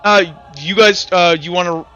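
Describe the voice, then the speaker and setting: Male game master, high-pitched, bright forceful tone, halting improvised narration, tabletop roleplaying. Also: steady tone, smooth, highs cut off, faint music behind.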